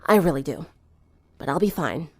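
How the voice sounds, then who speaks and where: A woman's voice: sits low, expressive, normal speed, darkish tone, no echo, studio quality. Woman acting a sick, stubborn, groggy teenage girl. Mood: weary, groggy. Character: sick, stubborn, weary teenage girl, brushing off friends' worry.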